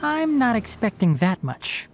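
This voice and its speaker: A woman playing a knowledgeable young forest ranger in a video game, brisk, dry and caring, with an instructive manner. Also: fairly high pitch, sing-song, soft background music. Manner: instructive, matter-of-fact.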